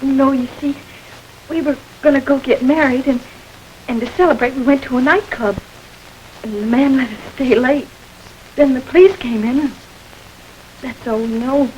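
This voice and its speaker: A woman voices a frightened young woman caught up in a police case in an old radio crime play. Her voice sits high as she pleads and protests. A faint hiss lies under the vintage recording. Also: steady tone, moderate pace, pauses often, a little mellow, natural voice, rough recording. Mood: pleading. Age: young adult. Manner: pleading, defensive. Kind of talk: 1940s radio acting.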